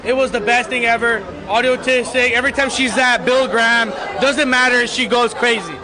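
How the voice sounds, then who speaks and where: Male raver, high-pitched and hyped, slangy, crowd noise behind. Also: steady tone, moderate pace, rough recording. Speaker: festival-goer. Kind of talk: vox pop answer.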